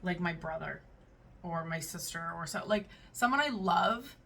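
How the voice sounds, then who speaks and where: A chatty woman reacts to posts she reads aloud, her voice bright and a little gravelly. She sits a bit back from the mic, with a faint hum of room noise behind her. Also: lowish voice, lively intonation, a little slow, recorded from a distance. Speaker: reaction video host. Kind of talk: casual reaction to read-out posts.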